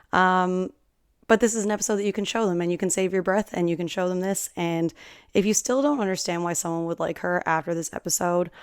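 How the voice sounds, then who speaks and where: Woman in her twenties, slightly hoarse, chatty solo podcast host, lowish smooth voice, dry close sound. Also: dry recording. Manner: chatty and rambling, friendly big-sister tone. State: slightly hoarse from singing at a concert. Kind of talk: casual solo podcast chat.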